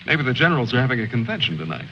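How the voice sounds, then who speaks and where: Wry army officer, literary phrasing, lively intonation, muffled old war-film soundtrack. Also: male voice, medium pitch, very fast, a little hiss or hum. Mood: wry, detached. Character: wry, eloquent male officer leading stranded soldiers.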